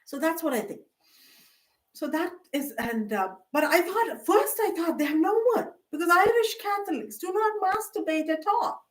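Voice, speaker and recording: A woman's voice: extremely high pitch, animated, normal speed, recorded from a distance. An older woman vlogger talking in a rambling, hesitant way, full of fillers and restarts. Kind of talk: rambling casual monologue.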